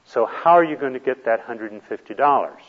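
A man's voice: mid-range voice, expressive, a little fast. Man lecturing on a dull old hall recording. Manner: plain, patient explaining, step by step. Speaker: retirement planning instructor. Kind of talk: classroom lecture.

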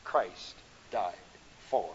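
A man from the American Midwest with a Midwestern accent, preaching a sermon at a conference, rising to loud emphatic peaks and dropping to soft, slow phrases. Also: deep voice, slight background noise. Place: conference hall. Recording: dull lo-fi recording.

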